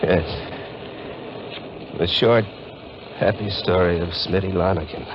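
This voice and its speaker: Anguished young American male lead, low-voiced, melodramatic, muffled vintage radio play. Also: fairly even intonation, slow pace. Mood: brooding, reminiscing. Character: earnest, anguished young man, a small-town fisherman. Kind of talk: 1940s radio drama acting. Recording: noisy, muffled 1940s radio recording.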